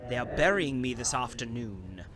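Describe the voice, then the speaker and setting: A man's voice: mid-range voice, animated, normal speed, natural voice. An ominous, desperate figure out of a nightmare, acted by a man in melodramatic radio horror. He is vehement and declamatory, accusing as he pleads, over faint background noise. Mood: menacing plea. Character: desperate, accusing man facing burial alive, haunting nightmares.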